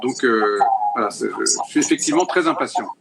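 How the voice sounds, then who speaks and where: A man speaking as a panel guest, pausing often with fillers. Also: medium pitch, animated, creaky. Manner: hesitant with many fillers. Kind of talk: panel discussion by video call. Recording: loud background noise.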